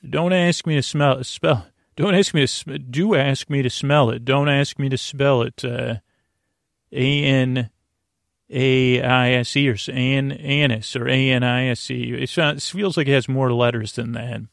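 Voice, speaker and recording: A man hosting a sleep podcast with a rambling bedtime monologue, meandering and halting, repeating himself and trailing off. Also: medium pitch, fairly even intonation, creaky, no room sound, clean studio sound.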